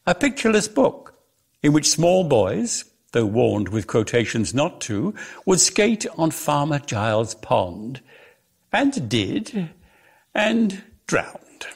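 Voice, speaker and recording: A man reads lyrical prose as a theatrical storyteller. His voice is gravelly and husky, and it swings expressively through its range at a slow, measured pace. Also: on the high side, big pitch swings. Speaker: reader of a dramatic story.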